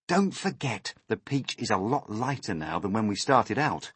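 A man's voice: lowish voice, big pitch swings, moderate pace, a bit bright, slightly rough, dry recording, exaggerated voice. A man voicing a brave, earnest young boy who calls out urgently in a storybook reading. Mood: commanding. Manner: commanding, exclaiming.

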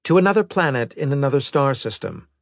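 A man voicing a brisk, formal female military intelligence officer giving precise reports in an audiobook. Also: mid-range voice, animated, dry recording, clean studio sound, voice pushed up, close to an everyday voice.

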